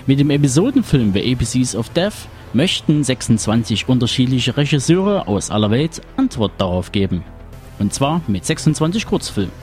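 A man's voice: mid-range voice, sing-song, moderate pace, darkish tone, faint music behind. A man co-hosting a review show, talking about films. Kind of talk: film review talk.

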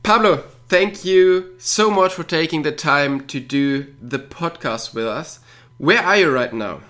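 A man's voice: fairly high pitch, animated, measured pace, bright, forceful tone. Upbeat male podcast host. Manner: friendly questions with fillers and repeats. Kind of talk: podcast interview.

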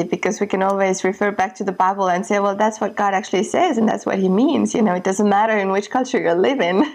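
A middle-aged woman from Estonia, a missionary guest speaking earnestly and thoughtfully in a podcast interview, often restarting her sentences as she searches for words. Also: medium pitch.

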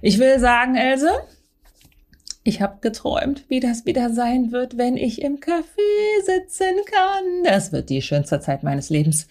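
A woman's voice: big pitch swings, measured pace, darkish tone. Brash chatty Berlin woman. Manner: brash, chatty, slangy, mixes in English phrases; playful, theatrical pitch swings. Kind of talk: comic monologue addressed to a friend.

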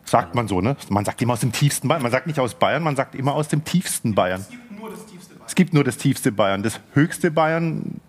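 A man's voice: mid-range voice, lively intonation, a little fast, slightly rough. A man co-hosting a talk show, chatting casually with dry, joking banter. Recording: loud music behind the voice.

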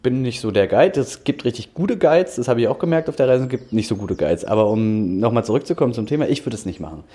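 A man's voice: medium pitch, expressive, fast, dark tone. A man from Berlin in his thirties, an angler talking casually as a podcast guest, upbeat and a bit rambling, laughing often. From Germany, Berlin.

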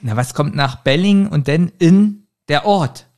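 A man co-hosting a podcast, retelling a plot in casual chat and haltingly reading out clues with amusement. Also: on the high side, expressive, darkish tone. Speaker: podcast co-host. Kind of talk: casual chat retelling a kids' audio drama.

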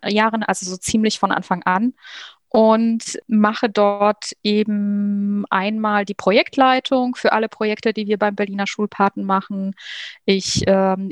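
A woman's voice: mid-range voice, dry recording. A woman guest in an interview, talking about her work with frequent fillers and small laughs. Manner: hesitant, many fillers. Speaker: guest, program coordinator. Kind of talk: interview answers.

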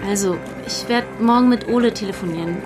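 A woman's voice: medium pitch, quick pace, lightly acted. A young woman playing a resolute climate activist who takes charge in colloquial, decisive speech in a naturalistic fiction podcast. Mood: determined. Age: young adult. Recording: music behind the voice.

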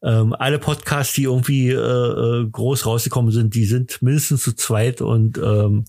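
Male podcast host, mid-pitched, talkative and enthusiastic, hesitant rambling delivery, very dry sound. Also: steady tone, normal speed, creaky, warm tone, no room sound. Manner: talkative, rambling, hesitant with many broken-off sentences. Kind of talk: casual, chatty podcast conversation.

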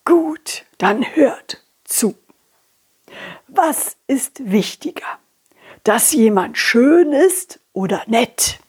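Lady doing a croaky old fish grandpa, breathy and slow, whispery. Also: on the high side, expressive, full character voice. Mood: solemn. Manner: slow, whispery, says "blub".

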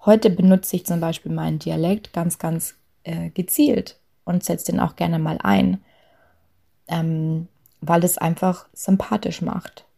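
A young woman with a light Bavarian accent talks through coaching points in a relaxed, chatty way. Her voice sits a little low, with a gravelly fry and a dark, mellow tone. She leans on fillers and small asides. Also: measured pace. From Lower Bavaria, Germany. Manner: chatty, frequent fillers, soft laughs. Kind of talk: talk on authenticity at work.

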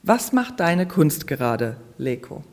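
An interviewer speaking in an articulate, measured way, as in an arts feature. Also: mid-range voice, very animated, a little mellow. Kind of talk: arts interview with scripted introduction.

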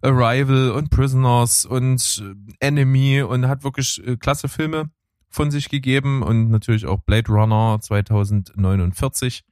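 A man's voice: medium pitch, steady tone, normal speed, darkish tone, clean tone, no room sound, clean studio sound. A man chatting casually about films and trailers as a co-host, rambling with many fillers. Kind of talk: casual film chat.

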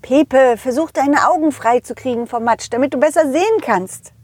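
Woman as a husky, playful storybook dog. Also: high-pitched, normal speed, a little mellow, no room sound. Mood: encouraging. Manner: playful, addresses the children directly. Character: confident, loyal, helpful male dog telling his adventure. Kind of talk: children's audio play narration.

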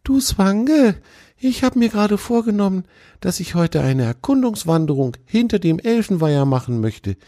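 A man voicing an eager, cheerful young male deer, talking high and excited, in a dry, close recording. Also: moderate pace, no room sound.

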